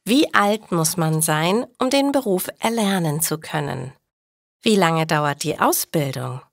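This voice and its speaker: Eager, lively schoolgirl niece, read by a woman. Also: deep voice, a little slow, gravelly, no room sound, clean studio sound, heavily acted. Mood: curious. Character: eager schoolgirl niece interviewing her aunt. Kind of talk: storybook dialogue for language learners.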